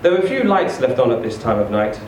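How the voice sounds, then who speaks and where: A man reading a short story aloud in an expressive, theatrical, emphatic storytelling style. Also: mid-range voice, fairly quick. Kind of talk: expressive live literary reading. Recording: echoey room, distant mic, faint noise.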